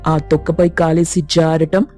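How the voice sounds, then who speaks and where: A woman's voice: low-pitched, level tone, unhurried, dark tone, faint music behind. A woman voicing mischievous young schoolboys who bicker and plot a prank. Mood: scheming. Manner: conspiratorial, bickering. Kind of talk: cartoon voice acting.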